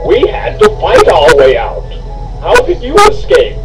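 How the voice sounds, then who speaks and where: A man acts a stately, commanding leader from a storybook. He declaims formally with big swings in pitch. The voice is distant, over music. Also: wildly swooping pitch, unhurried, vocal fry, heavily acted. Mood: stern. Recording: distant mic with music behind.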